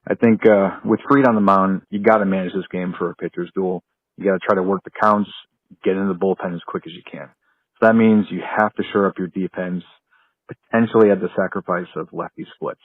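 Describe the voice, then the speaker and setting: A man's voice: low voice, fairly even intonation, fairly quick, no room sound. An American man leaving a casual, analytical sports fan's voicemail over the phone. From North Carolina. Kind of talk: casual tactical fan analysis. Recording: dry, tinny phone voicemail.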